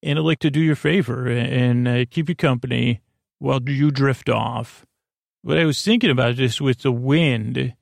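A man with a creaky, fry-laden voice hosts a bedtime show in a very dry recording. His pitch sits in the middle for a man. He rambles softly and hesitantly, wandering into digressions and losing his thread as he goes. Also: normal speed, dry recording. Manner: hesitant, digressive, loses his train of thought, gently soothing. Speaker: host of a bedtime sleep podcast. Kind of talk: rambling, meandering bedtime monologue.

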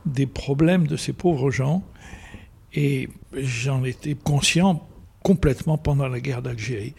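An older man, a photographer telling stories slowly and repeating phrases for emphasis. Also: medium pitch, creaky, darkish tone, breathy edge. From Algeria. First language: Arabic. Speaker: photographer guest. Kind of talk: reflective storytelling in conversation.

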